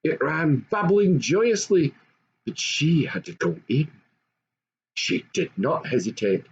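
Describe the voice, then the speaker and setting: A man's voice: fairly high pitch, sing-song, slow. An older man reads a children's story aloud expressively, as an amateur storyteller. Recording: dull lo-fi home recording, faint noise.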